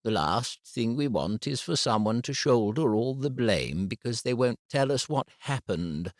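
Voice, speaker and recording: A man voicing a calm, formal female head teacher in an audiobook, speaking patiently and carefully. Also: mid-range voice, measured pace, dry recording, studio quality, pitched above the performer's own voice, close to an everyday voice. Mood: measured.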